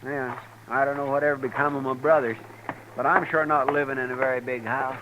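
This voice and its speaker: An actor playing a young loyal frontier deputy with a folksy, plaintive drawl, in a 1950s radio drama. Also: male voice, mid-range voice, fairly even intonation, brisk, lightly acted. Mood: puzzled, folksy. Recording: vintage radio recording with hiss.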